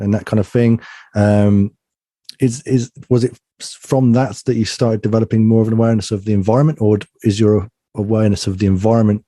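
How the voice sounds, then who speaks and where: A man's voice: lowish voice, dark tone, no echo. A man hosting a podcast, asking long, searching questions in a rambling way. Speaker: podcast host. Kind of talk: interviewing.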